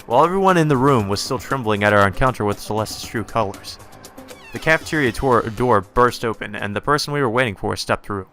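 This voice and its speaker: A man with a bright, forward voice at a middle pitch narrates a visual novel for a let's play. He reads scripted story lines aloud, with music playing faintly behind him. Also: animated, a little fast. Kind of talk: scripted narration with light reactions.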